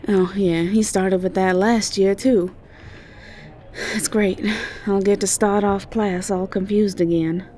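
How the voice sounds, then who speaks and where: A woman acts out a young siren college student in an audio drama, sweet and ditzy, with a Southern American accent. She talks slowly at a medium pitch, hesitating and stumbling over her words in flustered, airy chatter. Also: steady tone, mellow, slight background noise, close to an everyday voice. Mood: confused, sheepish. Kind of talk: roleplay audio drama acting.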